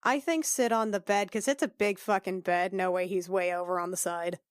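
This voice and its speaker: A woman's voice: medium pitch, fairly even intonation, clean tone, dry recording. Woman playing a tabletop game, dry close recording. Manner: halting, wry, sweary. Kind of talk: casual roleplay and table talk.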